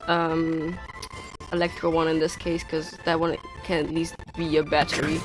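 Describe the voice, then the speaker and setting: A man's voice: on the high side, monotone, moderate pace, low-quality recording. A man giving casual, offhand live commentary as he streams a video game. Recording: game music behind.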